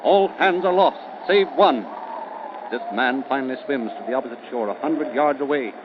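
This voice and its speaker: A man giving scripted, suspenseful narration in a deliberate, theatrical old-time radio style. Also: mid-range voice, noisy background. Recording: dull old radio recording. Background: music.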